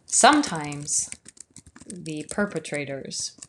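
Thoughtful woman, low-toned reflective narration. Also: very animated, fairly bright tone, slight background noise. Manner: measured, thoughtful, some words drawn out slowly. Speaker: video essayist.

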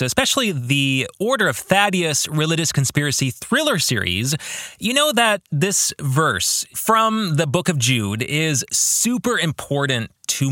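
A man hosts a reflective solo podcast in a bright, forward voice that sits a little high. He speaks slowly and earnestly, with pitch that moves widely as he leans on key words. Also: dry recording, clean studio sound. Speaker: podcast host and author.

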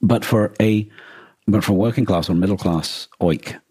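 A man co-hosting a podcast, explaining things in a casual, halting discussion as he thinks aloud. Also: lowish voice, warm tone, breathy edge, no room sound. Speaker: podcast co-host. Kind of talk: casual discussion of a radio drama.

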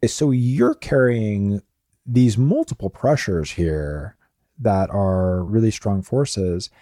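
A man's voice: lowish voice, very animated, takes it slowly, dark tone, no echo, clean studio sound. A man hosting an interview, asking curious, thoughtful questions and reflecting back what he hears. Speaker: podcast host. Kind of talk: interview conversation.

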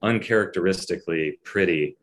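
A man, a writer appearing as a podcast guest, talking in a measured, descriptive way with dry asides. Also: sits low, a bit bright. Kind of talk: casual conversational interview.

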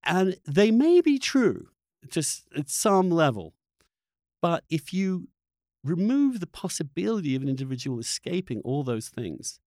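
A man's voice: a little high, big pitch swings, measured pace, a little mellow, dry recording, studio quality. A man hosting a conversational interview, earnest and emphatic, often repeating words and restarting as he gets worked up. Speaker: podcast host interviewing a guest.

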